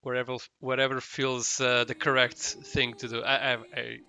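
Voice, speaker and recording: A man's voice: medium pitch, little pitch movement, normal speed, vocal fry, pushed and bright, clean tone, dry recording. A man doing casual live commentary as a game streamer, rambling and hesitant as he searches for his words.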